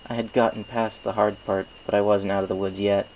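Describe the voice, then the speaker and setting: A man's voice: lowish voice, flat delivery, brisk, gravelly, darkish tone, low-quality recording. A man reading scripted first-person story narration as an amateur reader. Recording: noticeable background hiss and noise.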